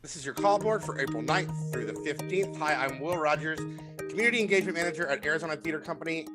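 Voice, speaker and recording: A man with a bright, slightly high voice reads out a promotional update over music. He explains things step by step in a semi-scripted announcer style. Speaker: announcer, theatre community engagement manager.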